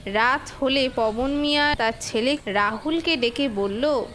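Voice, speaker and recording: A woman's voice: high-pitched, takes it slowly, a bit bright, a little hiss or hum. A woman giving scripted narration for a cartoon fable as the storyteller.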